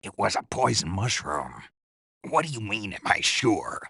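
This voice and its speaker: A man playing a gruff, raspy special forces soldier in a video game, stoic and dryly naive, with a terse, deadpan delivery. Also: lowish voice, measured pace, no echo, studio quality, full character voice. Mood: deadpan, curious.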